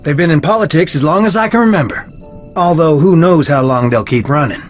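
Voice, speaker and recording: A man's voice: on the high side, a little fast, faint music behind, close to an everyday voice. An actor voicing a reserved, earnest young man in a video game, speaking in a guarded, confiding manner.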